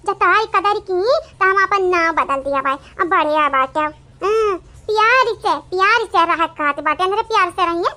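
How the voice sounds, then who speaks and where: A performer voicing a sharp-tongued, quarrelsome young village woman with an Awadhi accent in a cartoon, with a shrill, scolding delivery. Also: normal speed, heavily acted. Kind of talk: cartoon voice acting.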